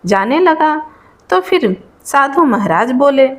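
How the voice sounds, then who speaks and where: A woman's voice: high-pitched, very animated, slow pace. A woman narrating a devotional folk tale in an informal oral storytelling style, explaining and rephrasing as she goes.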